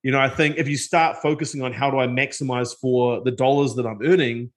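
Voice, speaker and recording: A man's voice: medium pitch, steady tone, fairly quick. A middle-aged man with a New Zealand accent tells his story as an interview guest. He is loose and self-deprecating, and he restarts his sentences often. His voice sits in an ordinary middle range. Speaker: guest, tech company co-founder.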